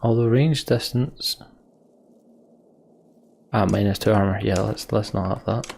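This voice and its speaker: A man's voice: lowish voice, unhurried, gravelly, a little mellow, breathy edge. A young man thinking aloud as he plays a game, muttering to himself. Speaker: let's play host. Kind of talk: gameplay commentary.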